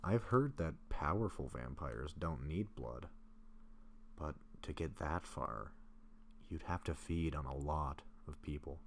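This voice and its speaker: A man playing a gentle, affectionate vampire in an intimate roleplay audio drama, speaking in a soft, halting, confiding way. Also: a bass voice, creaky, full character voice. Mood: hesitant, explaining. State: sick, occasional coughing. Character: gentle sick male vampire, affectionate, self-doubting best friend. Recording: faint steady background noise.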